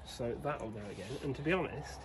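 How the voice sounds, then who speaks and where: Young guy, casual self-talk vlog, average pitch, noisy night air behind. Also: animated, fairly quick. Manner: chatty, cheeky, thinking aloud while working. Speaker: vlogger mechanic. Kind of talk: casual workshop narration. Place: outdoors at night by an old digger.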